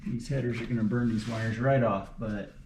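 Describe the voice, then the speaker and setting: Male DIY mechanic, mid-pitched, thinking aloud in clipped fragments as he works; echoey space, faint noise. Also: fairly even intonation, normal speed. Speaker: car mechanic making a repair video. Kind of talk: unscripted talking to himself while working on an engine. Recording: roomy echo, light background noise.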